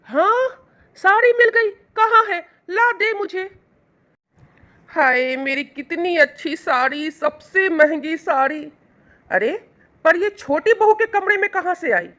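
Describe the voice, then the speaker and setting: A woman playing a cruel, greedy middle-aged mother-in-law in a moral storybook tale, shrill, nagging and accusing. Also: takes it slowly, sharp tone, full character voice. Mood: eager, whining. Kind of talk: Hindi moral storybook acting.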